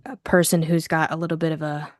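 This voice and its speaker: A woman's voice: low voice, level tone, quick pace. A woman narrating a classic detective novel and discussing it as co-host. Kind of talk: scripted story narration and book discussion.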